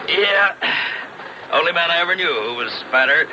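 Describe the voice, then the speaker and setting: Folksy drummer, big and gabby, played by a man; lively swooping pitch, chuckles, stammers; noisy old radio-show recording. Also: moderate pace, natural voice. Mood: jovial, chatty. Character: fat, folksy, garrulous American traveling silk salesman. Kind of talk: 1950s radio drama acting.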